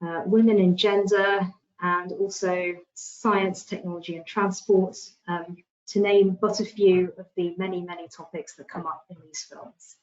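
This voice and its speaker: A woman's voice: lowish voice, level tone, unhurried. A woman giving an online slide presentation in a hesitant, informal way over lo-fi call audio.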